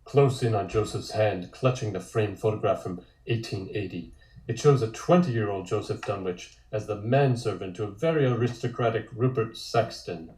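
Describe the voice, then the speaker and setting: A man's voice: fairly low pitch, normal speed, darkish tone. A man reading a comic script aloud as narrator. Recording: echoey room.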